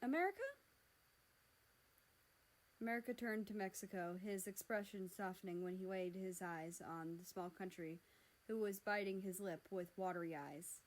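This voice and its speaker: A woman's voice: fairly low pitch, steady tone, moderate pace, poor audio. A woman narrating a scripted story as a reader. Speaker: fan fiction reader.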